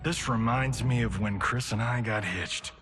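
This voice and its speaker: An actor playing a cocky, laid-back Hollywood star in a video game, breezy, joking and slangy. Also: male voice, mid-range voice, unhurried, lightly acted. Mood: wistful, breezy. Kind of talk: casual banter in game cutscene. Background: faint music.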